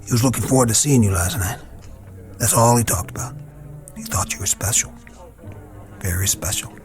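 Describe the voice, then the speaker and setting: An actor playing a weary army lieutenant at a wartime hospital, halting and reassuring over hidden dread, in a radio drama. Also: male voice, on the low side, close to an everyday voice. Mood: grave, gentle. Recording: dramatic music behind the voice.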